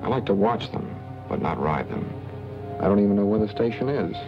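A terse, menacing stranger with a low, gravelly voice, guarded in the manner of 1940s film noir. The sound is a dull old soundtrack. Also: male voice, lively intonation, brisk, music in the background. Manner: terse, controlled. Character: guarded, menacing male stranger hiding a secret.

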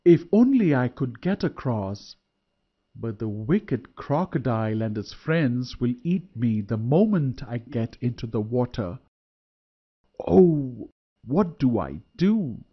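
A man's voice: medium pitch, sing-song, slow pace, husky, exaggerated voice. A man voicing a clever, cheerful young male deer in a children's storybook. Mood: wistful. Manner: bright, eager, raised voice. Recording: dull lo-fi recording.

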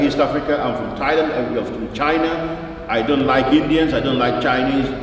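A man preaching a sermon in an emphatic, deliberate way, repeating phrases for weight and putting questions to his listeners. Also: medium pitch. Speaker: preacher at a church service. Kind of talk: sermon with scripture quotation and rhetorical questions. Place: large reverberant church, indoors. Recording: very echoey church, distant mic, faint noise.

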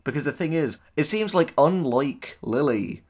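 A man's voice: fairly high pitch, lively intonation, normal speed, darkish tone. A man co-hosting a casual reaction commentary, reading posts aloud and answering them with sarcastic, sweary remarks. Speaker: co-host, writer.

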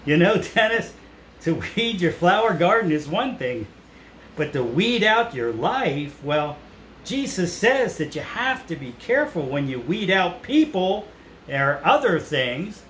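Higher-voiced middle-aged man in an echoey room. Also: big pitch swings, measured pace, fairly bright tone. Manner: simple, explanatory address to children. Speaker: pastor hosting a children's puppet show. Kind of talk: children's ministry talk. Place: indoors in a small room. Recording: roomy echo with some background noise.

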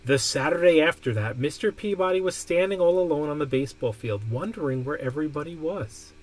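A man reading a children's picture book aloud expressively, with deliberate, emphatic phrasing. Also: fairly high pitch, expressive, a little hiss or hum. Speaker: storybook reader. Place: indoor room.

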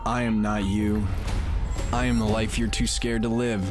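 A man plays an outlaw racer in a video game trailer. He is cocky and defiant, taunting intensely in a fairly low voice over loud music. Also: little pitch movement, normal speed, natural voice. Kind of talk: dramatic game trailer monologue.

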